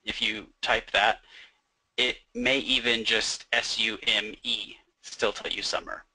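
A young man who works as a software developer, explaining a product casually on a webinar with frequent "um" and "like". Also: on the low side, flat delivery, slow, husky, rough recording. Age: young adult. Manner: fluent, uses fillers like "um" and "like".

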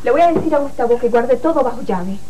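Sarcastic young telenovela wife played by a woman, higher-pitched yet warm-toned, deliberate and hurt. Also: animated, natural voice. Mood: bitter, sarcastic. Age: young adult. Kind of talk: telenovela acting. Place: indoor TV studio set. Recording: noisy old TV archive tape.

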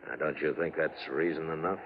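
An American actor playing a steady, laconic Old West marshal in 1950s radio western style. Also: male voice, rumbling low, brisk, slight background noise. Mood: measured. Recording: tinny 1950s radio recording.

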